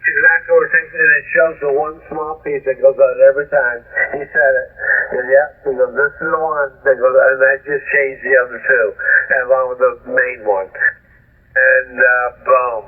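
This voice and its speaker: A man's voice: medium pitch, fairly even intonation, moderate pace, phone-quality sound. Band-limited ham radio man, rambling folksy talk. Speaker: amateur radio operator. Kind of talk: casual chat over ham radio.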